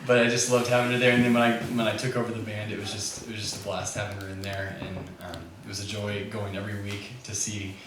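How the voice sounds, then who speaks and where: Low-pitched man, distant and very echoey. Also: level tone, fast, few pauses. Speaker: community band conductor and musician speaking at a memorial. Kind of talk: informal, halting tribute before performing a song. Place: echoey back room at a gathering. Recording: very echoey, distant mic, clearly noisy.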